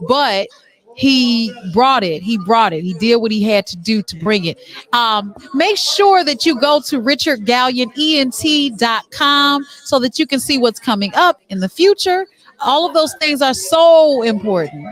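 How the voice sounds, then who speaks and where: Woman, talk show host, higher-pitched voice, animated, chatty, playful, casual on-location interviewing. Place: busy venue backstage after a stage play. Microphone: microphone with headphone monitoring.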